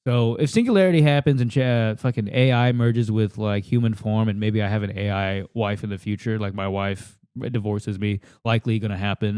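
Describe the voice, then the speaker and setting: A man's voice: lowish voice, darkish tone, smooth, no echo, studio quality. A man from the United States doing an improvised, crude, self-deprecating comedy rant into a handheld mic at a table in a house. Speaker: comedian contestant. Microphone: handheld microphone.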